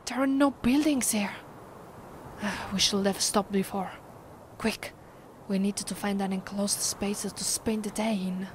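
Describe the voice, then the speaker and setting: A woman with an Italian accent playing a commanding, vengeful vampire in an ASMR roleplay, her voice soft and breathy, often sinking to a whisper. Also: medium pitch, fairly even intonation, slight background noise, close to an everyday voice. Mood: alarmed, urgent. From Italy. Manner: slow, deliberate, imperious. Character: commanding, vengeful female vampire, secretly affectionate. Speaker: ASMR voice actress.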